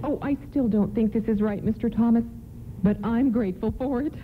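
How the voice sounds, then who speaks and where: A woman's voice: on the high side, brisk, natural voice. A woman acting in a nostalgic radio play as a grateful, courteous and motherly 1950s housewife. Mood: hesitant, grateful. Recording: lo-fi hissy radio play recording.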